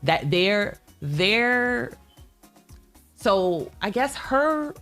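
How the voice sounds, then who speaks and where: A woman's voice: mid-range voice, very animated, extremely slow, a bit bright. A woman co-hosting a casual live talk show, speaking emphatically and with firm opinions. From Guyana or of Guyanese family. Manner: emphatic, opinionated, repeats points firmly. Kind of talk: casual debate with co-host and live commenters. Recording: music playing behind the talk.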